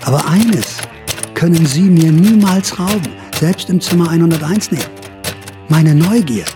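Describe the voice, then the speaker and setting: An actor playing a feverish, defiant dreamer in an agitated, declamatory radio drama monologue. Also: male voice, on the high side, expressive, a little slow. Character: feverish, defiant dreamer travelling back through his memories. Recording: music behind the voice.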